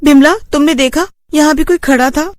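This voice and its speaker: A woman's voice: high-pitched, normal speed, no echo, voice pushed up, close to an everyday voice. A woman voicing a frightened young bride in a cartoon horror story, anxious and insistent. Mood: uneasy. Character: frightened young bride new to a haunted mansion.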